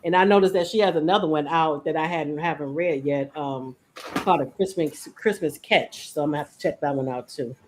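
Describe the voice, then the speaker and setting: A woman's voice: sits low, brisk, a little hiss or hum. Female book show host, casual and chatty, conversational with the audience. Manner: chatty, addresses live audience by name. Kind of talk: casual book discussion chat.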